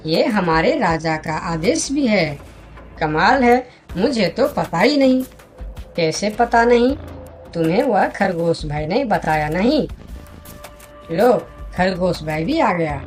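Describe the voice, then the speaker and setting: A woman voicing friendly talking jungle animals in expressive dialogue for a children's cartoon. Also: on the low side.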